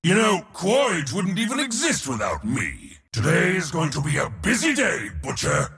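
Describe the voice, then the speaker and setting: A man's voice: on the high side, huge pitch swings, normal speed, gravelly, a bit bright, long reverb tail, full character voice. A man voicing an arrogant, mocking war god in a video game, pompous and theatrical with a sardonic edge. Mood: boisterous. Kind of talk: video game character voice lines.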